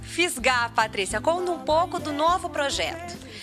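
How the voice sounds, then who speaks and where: A woman's voice: high voice, animated, normal speed, over music. A woman with a Brazilian accent interviewing a colleague in a casual, conversational way. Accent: Brazilian Portuguese. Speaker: interviewer, television colleague of the guest.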